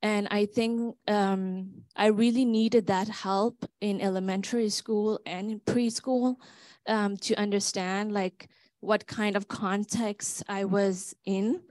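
A young woman from Denmark whose first language is Danish, making a halting, earnest comment from the audience at a conference. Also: medium pitch, flat delivery, darkish tone, dry recording. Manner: halting and earnest, pausing often. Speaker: doctoral student commenting from the audience.

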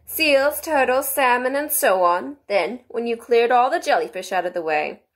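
A woman reading a storybook as a mournful male turtle creature, easily excited, with dramatic delivery. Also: a little high, normal speed.

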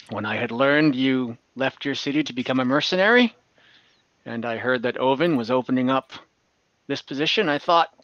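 A man acts out a rueful older male elf in a tabletop roleplaying game. He talks slowly and haltingly, earnest as he confesses his past. The sound is close and dry, but a little dull, like an online call. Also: mid-range voice, a bit bright, dry recording. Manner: hesitant, halting, earnest storytelling. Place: indoors on a group video call.

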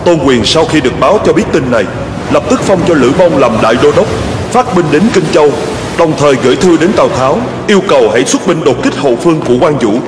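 Solemn man as war storyteller, fairly high voice, long reverb, cartoon narration. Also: expressive, fast talker, noisy background, natural voice. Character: solemn male storyteller of ancient wars. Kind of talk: scripted historical cartoon narration. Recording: long hall reverb, music behind.

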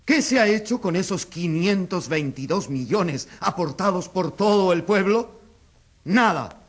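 An indignant newspaper editor accusing someone in a radio courtroom drama. His voice sits a little high and swings widely, leaning hard on rhetorical questions. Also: animated, unhurried.

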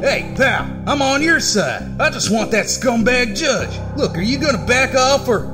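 A man's voice: very high voice, animated, a little slow. A man acting a bitter, unhinged, vengeful figure who rants sarcastically in a comic-book audio drama. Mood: agitated. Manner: ranting. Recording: music behind the voice.

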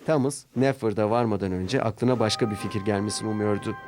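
A man's voice: on the low side, quick pace, a little mellow. Male children's story narrator over music. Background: background music.

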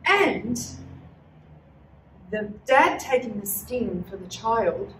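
Middle-aged woman, slow deliberate speech, lively pitch, echoey room. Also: slight background noise. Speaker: co-leader of children's church. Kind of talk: casual teaching chat for kids. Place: small indoor room. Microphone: far camera. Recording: roomy sound from a distant camera.

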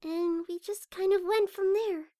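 Very high, shy little pony voiced by a woman. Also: steady tone, a little slow, no room sound, studio quality. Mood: nervous, apologetic. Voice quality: soft, quiet. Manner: hesitant, stammering. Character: shy, gentle, nervous young female pony. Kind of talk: amateur audio drama acting.

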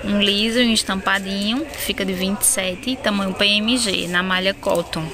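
A woman's voice: mid-range voice, fairly even intonation, a crowd in the background. A woman from northeastern Brazil with a Pernambuco accent, filming a walkthrough of her baby clothing shop on a phone and listing prices in a chatty way. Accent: Pernambuco Portuguese. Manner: chatty, lists prices quickly, addresses viewers as "gente". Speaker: wholesale shop owner. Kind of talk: casual product walkthrough. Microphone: phone camera held while filming.

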